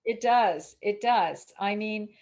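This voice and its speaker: A woman's voice: medium pitch, takes it slowly, darkish tone. A woman with a mid-range voice talks as a guest author in an informal interview on spiritual matters. She speaks in an emphatic, declarative way. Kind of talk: casual spiritual interview conversation.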